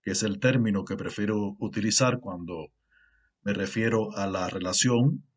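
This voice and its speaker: Low-voiced Cuban man giving a formal lecture. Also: slightly rough. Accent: Cuban Spanish. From Cuba. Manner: measured, earnest, with filler pauses. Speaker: university lecturer. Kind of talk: formal conference talk.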